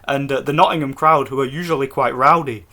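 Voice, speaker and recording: A man from Scotland giving a casual, excited post-fight review for his vlog, rambling with restarts. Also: medium pitch, a little fast. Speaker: boxing vlogger.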